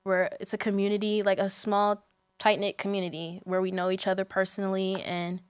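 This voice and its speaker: A woman's voice: mid-range voice, level tone, a little fast, clean tone, no echo. A young adult woman speaking as a student guest in a casual podcast interview, informal and candid in the way she talks.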